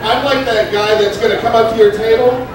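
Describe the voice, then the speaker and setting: A young man doing stand-up comedy in a restaurant, rambling and halting with many fillers, and acting out a stoned, forgetful waiter. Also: very high voice, fairly even intonation. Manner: acts out a stoned, scatterbrained waiter. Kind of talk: open-mic stand-up comedy. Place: Mexican restaurant with a live crowd. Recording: very echoey room, distant mic, crowd noise.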